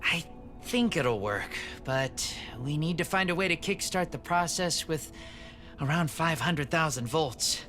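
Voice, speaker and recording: A man's voice: fairly high pitch, lively intonation, a little slow, pushed and bright, faint music behind. A man playing an earnest spaceship engineer in a video game, talking plainly about technical matters. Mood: tentative, keen. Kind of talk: cinematic video game acting.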